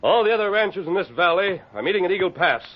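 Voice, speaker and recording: A man's voice: high voice, animated, fast. An actor playing a resolute masked western lawman in an old radio drama, urgent and commanding. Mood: firm, commanding. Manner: urgent, authoritative, clipped commands. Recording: dull lo-fi vintage radio recording.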